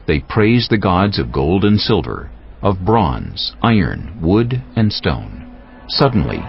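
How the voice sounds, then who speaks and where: Deep, animated male scripture narrator. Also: gravelly. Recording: muffled, with faint music.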